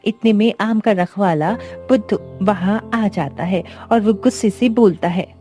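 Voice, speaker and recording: Female story narrator for a village moral cartoon, mellow tone, music underneath. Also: mid-range voice, measured pace. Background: background music.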